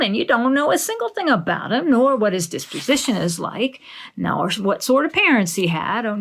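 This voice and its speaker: An opinionated older village woman from a storybook, acted out by a female reader. She is blunt and alarmist, scolding emphatically in a raised, swooping pitch with some gravel in it. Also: a bit bright, exaggerated voice.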